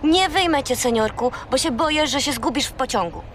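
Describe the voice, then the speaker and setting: Woman playing a high-pitched, bossy little girl. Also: fairly quick, a little hiss or hum, close to an everyday voice. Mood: hushed, wary. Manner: scolding, correcting. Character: bossy, exasperated young girl scolding her furry companion. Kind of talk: children's radio play acting.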